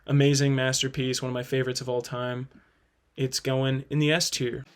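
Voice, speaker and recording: A man's voice: medium pitch, level tone, moderate pace, clear, resonant tone. A man hosting a ranking video, giving casual, enthusiastic review commentary in an informal way. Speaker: host of a play-ranking video.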